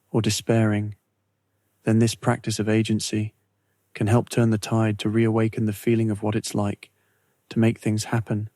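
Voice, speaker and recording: Low, even-toned man guiding meditation. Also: no echo. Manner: measured, soothing, deliberate phrasing with pauses. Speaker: meditation guide. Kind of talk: guided meditation, scripted narration.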